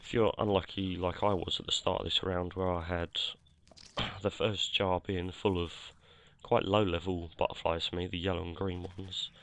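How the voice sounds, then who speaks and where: A man, croaky from a cold, casually talking over his gameplay with lots of fillers and self-corrections. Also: sits low, little pitch movement, moderate pace, a bit bright. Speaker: gameplay commentator. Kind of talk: casual game commentary.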